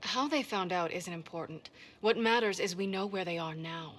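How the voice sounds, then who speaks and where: An actress playing a brisk, self-assured expert in a fantasy video game, with a dry, matter-of-fact delivery. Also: female voice, fairly low pitch, a bit bright.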